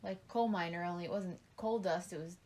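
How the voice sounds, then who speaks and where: A woman co-hosting a podcast with her husband, laughing often and gently teasing and correcting him. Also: on the low side, fast talker, crowd noise. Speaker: co-host, the host's wife. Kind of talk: casual chat with her husband.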